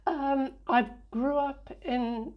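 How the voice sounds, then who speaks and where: A woman from England answering interview questions in an unhurried, reflective way, with many fillers and restarts. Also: high voice, steady tone, lo-fi sound.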